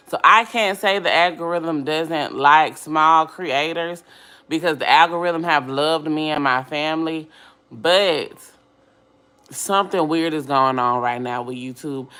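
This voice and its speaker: A woman with a low, scratchy voice hosting a casual livestream, reading viewer comments aloud and answering them in a chatty, upbeat way. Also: pushed and bright. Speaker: livestream host.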